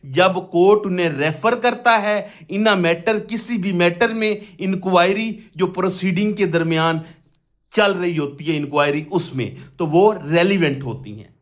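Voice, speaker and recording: A man with a Pakistani accent giving an explanatory law lecture, methodically reading out clauses, repeating key phrases and answering his own questions. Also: sits high. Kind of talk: explanatory lesson, reading statute clauses aloud and explaining them.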